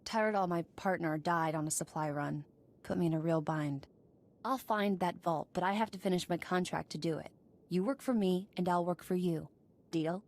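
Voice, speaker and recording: A woman's voice: fairly low pitch, normal speed, darkish tone, close to an everyday voice. A woman playing a tough, sardonic smuggler running a warehouse in a video game, blunt and wry. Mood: businesslike.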